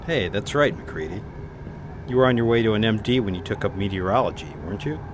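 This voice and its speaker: Radio drama: male actor as matter-of-fact scientist, lowish pitch, dry and unflustered, clear rumble in the background. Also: expressive, fast, lightly acted. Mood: encouraging. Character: calm, logical, grimly matter-of-fact male polar researcher.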